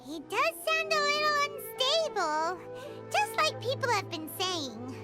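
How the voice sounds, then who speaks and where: A woman voicing a tiny, excitable fairy companion in a video game, who refers to herself in the third person. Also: extremely high pitch, a little slow, exaggerated voice. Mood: curious. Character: tiny chirpy female floating fairy companion. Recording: game music underneath.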